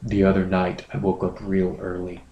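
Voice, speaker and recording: Male comedy podcast host, lowish voice, mock-serious and foul-mouthed, casual delivery, roomy echoing sound. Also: completely flat delivery, normal speed, warm tone, muffled recording. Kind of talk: casual banter and readings of internet posts. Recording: roomy echo, clear background noise.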